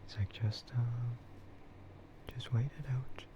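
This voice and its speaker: A man softly whispering an unhurried, rambling ASMR livestream chat in a breathy voice, close to the microphone. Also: mid-range voice, level tone. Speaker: livestreamer and content creator.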